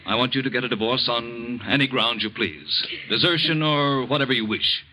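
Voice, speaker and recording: An actor playing a cold, evasive husband with a clipped, aloof manner in 1940s radio drama style. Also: male voice, medium pitch, moderate pace, lightly acted. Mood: cold, firm. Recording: noisy vintage radio drama recording.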